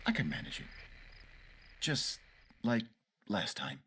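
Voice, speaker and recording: Weary, muttering ranger, animated pitch. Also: male voice, takes it slowly, very noisy. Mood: weary, soothing. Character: weary, grumbling male ranger, an ordinary family man. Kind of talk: naturalistic video game cutscene acting.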